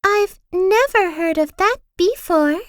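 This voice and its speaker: A woman's voice: very high-pitched, takes it slowly, no room sound, clean studio sound, pitched above the performer's own voice. A woman doing a chirpy, sunny little lady. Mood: cheerful. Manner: bright, cheerful, chirpy. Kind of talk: storybook reading.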